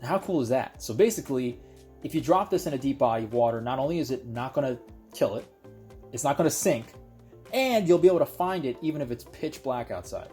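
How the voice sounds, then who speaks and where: A man's voice: mid-range voice, expressive, a little fast, darkish tone, soft background music. A man giving a casual product review, explaining things conversationally and speaking directly to viewers.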